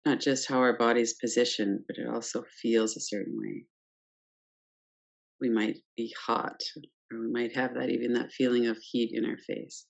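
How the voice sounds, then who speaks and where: A woman teaching guided meditation, speaking calmly and gently in short phrases with pauses between them. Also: rumbling low, vocal fry. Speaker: yoga nidra meditation teacher. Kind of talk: guided meditation, unscripted instruction.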